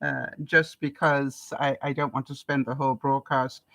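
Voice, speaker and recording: An older woman from Canada with a stuffed-up nose, hosting a casual live-stream chat and often pausing with ums and small laughs. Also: a bass voice, little pitch movement, no echo. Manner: chatty, with frequent ums and soft laughs. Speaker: vlogger hosting a live broadcast.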